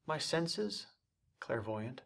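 A young man gives a mock-serious dramatic poetry reading, deliberate and theatrical, with weighty pauses and swells. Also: medium pitch, sing-song, vocal fry, a bit bright. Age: young adult. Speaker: performer reciting bad poetry. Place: indoors, dark studio stage set.

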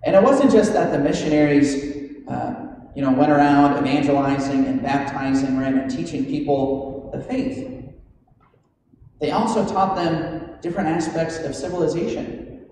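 Priest preaching in a very echoey church. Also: male voice, on the high side, moderate pace, pauses often, darkish tone. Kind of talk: homily. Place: large stone church, indoors. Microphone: gooseneck pulpit microphone. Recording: very echoey church hall, noisy, distant.

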